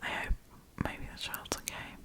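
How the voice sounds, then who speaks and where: A woman hosts an ASMR storytime entirely in a breathy whisper. She talks in a casual, conversational way, freely sharing her opinions as she goes. The delivery stays unvoiced and informal. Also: normal speed. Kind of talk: whispered ASMR, casual chatty commentary on stories.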